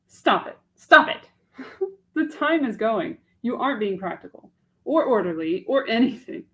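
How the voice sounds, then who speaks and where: An anxious young woman torn between love and her settled life, as a woman reads her cold. She speaks in a breathless, searching rush of questions, often high and lifted. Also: very animated, normal speed, breathy edge. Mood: yearning. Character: young woman agonizing over a marriage proposal. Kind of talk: dramatic monologue cold reading.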